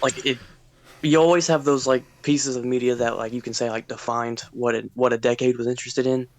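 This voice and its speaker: A man's voice: medium pitch, fairly even intonation, fairly quick, dry recording. A man giving his opinions casually as a panelist on a podcast.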